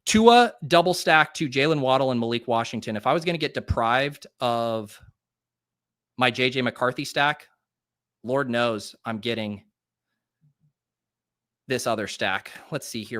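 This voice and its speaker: A man's voice: medium pitch, a bit bright. A man with a nasal voice hosting a live stream, chatting casually and thinking aloud while he drafts fantasy football players. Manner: rapid, chatty thinking aloud with many fillers.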